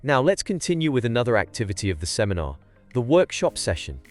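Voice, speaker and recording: A man reading a smooth scripted voice-over to move the video along. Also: medium pitch, very animated, fast, faint music behind. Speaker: video narrator.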